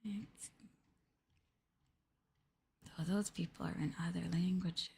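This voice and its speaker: A woman streamer chatting softly in an ASMR livestream style, gentle and hesitant, sometimes drifting into a whisper. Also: on the low side, fairly even intonation, moderate pace, slightly rough. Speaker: streamer, VTuber host.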